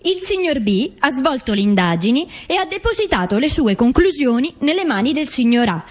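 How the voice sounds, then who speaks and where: Actress as a high-voiced, determined grieving mother. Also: big pitch swings, moderate pace. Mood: indignant. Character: grieving, determined mother seeking truth, accusing the authorities. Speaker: stage actress in a documentary theatre play. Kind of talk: dramatic theatrical recitation.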